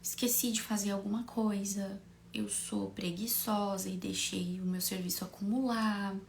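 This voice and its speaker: A Brazilian woman, slightly hoarse with a cold, teaching an informal online class in a conversational, explanatory way with frequent tag questions and rhetorical questions. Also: mid-range voice, steady tone, normal speed, a little hiss or hum.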